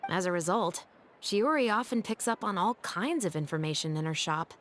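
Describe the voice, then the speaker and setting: An actress playing a dutiful, wary patrol captain in a game, speaking in a dry, measured, skeptical way. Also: female voice, lowish voice, lively intonation, slight background noise, natural voice. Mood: explaining. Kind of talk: game cutscene voice acting. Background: game music.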